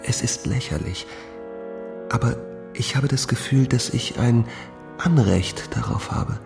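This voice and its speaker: A brooding, possessive male patient in a radio crime drama. He talks slowly and reflectively in a creaky voice, drily resigned, over clearly audible music. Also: mid-range voice.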